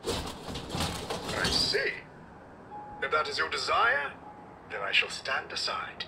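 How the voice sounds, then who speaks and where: An actor voicing an ancient, chivalrous robot knight captain in a video game, with a pompous, florid, archaic way of speaking. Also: male voice, a little high, wildly swooping pitch, takes it slowly, gravelly, sharp tone, a little hiss or hum, heavily acted. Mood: solemn. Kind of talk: theatrical video game voice acting.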